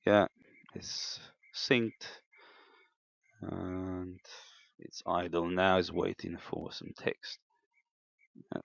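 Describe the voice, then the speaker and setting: Deep gravelly man in an echoey room. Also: flat delivery. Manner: hesitant, halting, thinking aloud. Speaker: amateur radio hobbyist demonstrating equipment. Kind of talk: unscripted hands-on equipment demo. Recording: dull, lo-fi, echoey room sound.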